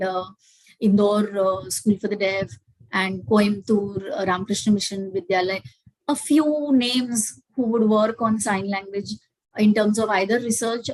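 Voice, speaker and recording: A woman's voice: mid-range voice, no room sound, rough recording. A woman with an Indian English accent giving a webinar lecture and answering questions, explaining steadily with frequent fillers. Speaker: guest speaker. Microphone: video call.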